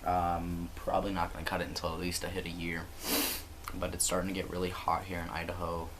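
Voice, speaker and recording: Low-voiced young man, rambling vlog. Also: fairly quick, heavy background noise. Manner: relaxed, rambling, many ums and likes. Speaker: vlogger. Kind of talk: casual vlog update. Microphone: built-in computer camera mic.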